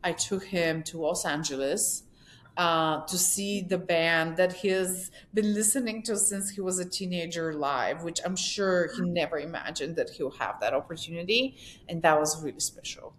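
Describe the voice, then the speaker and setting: A middle-aged woman speaking as an interview guest in casual conversation, emphatic and expressive. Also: moderate pace. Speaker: podcast guest, communications executive. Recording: noticeable background noise with low rumble.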